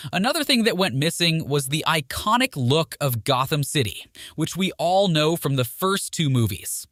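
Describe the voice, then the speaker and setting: A man narrates from a script with a very lively, swinging intonation. His voice sits a little high, and his delivery is emphatic and dramatic. The sound is dry and close, with very little room around it. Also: no echo. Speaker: narrator of a film commentary video.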